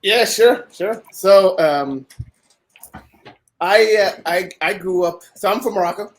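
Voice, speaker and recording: A man's voice: high-pitched, takes it slowly. A man from Kenya, a guest on a podcast, speaking casually and hesitantly with many fillers. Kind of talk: casual conversation.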